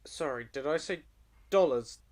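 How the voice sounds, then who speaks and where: A man's voice: fairly high pitch, lively intonation, normal speed, darkish tone, close to an everyday voice. A man playing an officious, smug government official in a comic audio drama, pompous and unbending.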